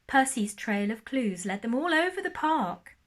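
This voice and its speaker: A woman narrating a children's storybook like a primary school teacher, expressive and lingering on key words. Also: a little high, measured pace.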